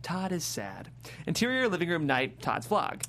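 A woman's voice: fairly low pitch, sing-song, moderate pace, a bit bright. Female stage-directions reader for a script read, varied intonation, slight background hum. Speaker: script narrator. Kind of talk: reading screenplay stage directions.

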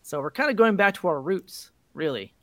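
A man co-hosting a podcast in casual chat, with an animated, joking way of talking. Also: high voice, moderate pace, dry recording. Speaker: podcast co-host.

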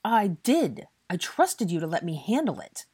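Woman voicing an angry young wizard, husky and scolding. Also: lowish voice, very animated, a little slow, a little mellow, no room sound, clean studio sound, voice pushed up, exaggerated voice. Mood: frustrated. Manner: heated, scolding, British swearing. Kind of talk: audiobook character dialogue.

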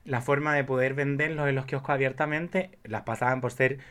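A man's voice: mid-range voice, steady tone, brisk, a bit bright. Playful, campy Chilean man chatting. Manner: playful, campy, drops English phrases. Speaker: podcast co-host. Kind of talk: casual, confessional chat about films.

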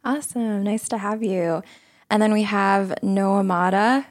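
A woman's voice: medium pitch, measured pace, no room sound, studio quality. A woman hosting a podcast panel in a conversational style. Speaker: podcast host.

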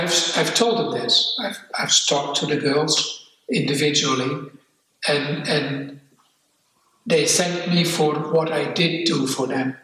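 Older man, Dutch accent, bright tone, halting and candid, far from the mic. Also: fairly high pitch. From Netherlands. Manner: candid, halting, repeats words. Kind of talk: personal reflective testimony. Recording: echoey room, off-mic.

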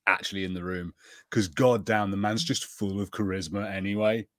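A man's voice: deep voice, steady tone, normal speed, a bit bright, dry recording, clean studio sound. A man co-hosting a podcast, chatting casually and enthusiastically in a review. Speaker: podcast co-host.

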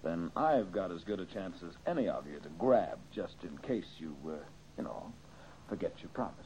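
A sure-of-himself old radio detective, mellow tone, lively delivery, explains step by step, dull old audio. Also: male voice, sits low, moderate pace, slight background noise. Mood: cagey, bargaining. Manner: methodical, emphatic explaining. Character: brisk, confident male detective laying out a case. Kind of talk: old-time radio mystery acting. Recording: muffled old radio recording.

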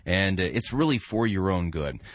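Energetic American man hosting, dry close sound. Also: low voice, moderate pace, no room sound. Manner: energetic, rambling, self-interrupting. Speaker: radio show host. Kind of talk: casual listener-supported radio talk.

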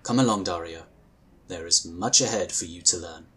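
An actor playing a courteous, reassuring inquisitor of a magical order in a sci-fi audio drama, speaking in formal, measured, polite phrases. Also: male voice, low-pitched, bright, forceful tone. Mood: gentle, welcoming.

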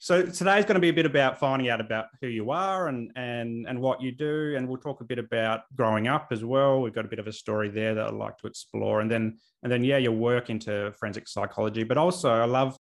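A man's voice: mid-range voice, fast talker, few pauses. A man hosting a podcast who asks friendly questions, often restarting and repeating his words. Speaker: podcast host. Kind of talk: interview questions.

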